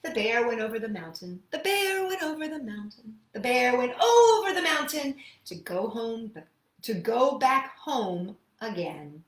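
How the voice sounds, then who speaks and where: A woman with a high voice leads a children's storytime in a roomy, echoing space. She is expressive and talks straight to her young listeners. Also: measured pace. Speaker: children's storytime host and singer. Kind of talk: children's storytime read-aloud and sing-along.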